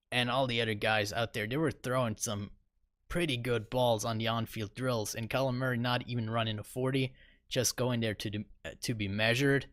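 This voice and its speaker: A man's voice: mid-range voice, fairly bright tone, no room sound, clean studio sound. A young man co-hosting a sports podcast, giving casual, rambling analysis with lots of fillers. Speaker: podcast co-host.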